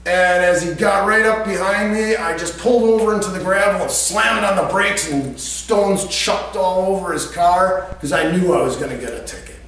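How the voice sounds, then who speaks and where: An American man casually telling a personal anecdote in an animated, everyday storytelling way. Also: sits high, normal speed, few pauses. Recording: echoey room, distant mic, faint hum.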